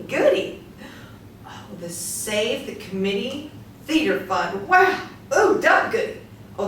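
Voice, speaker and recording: A woman's voice: medium pitch, a bit bright. A woman in a staged reading playing a gushing, fretful society clubwoman who was once a school teacher. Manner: gushing, fretful, given to cooing and correcting. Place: small room, seated reading from pages. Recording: echoey room, distant mic, faint noise.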